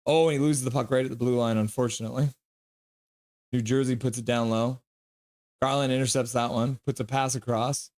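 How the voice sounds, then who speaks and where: A man hosting a live hockey stream, reading chat comments aloud with loose jokes and breaking into quick play-by-play. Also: mid-range voice, steady tone, darkish tone.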